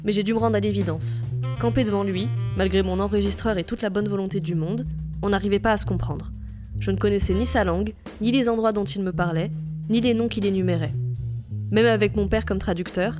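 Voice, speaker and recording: A young woman from France narrating her podcast in a calm, measured, reflective voice. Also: mid-range voice. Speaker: podcast host. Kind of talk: scripted narration. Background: guitar music.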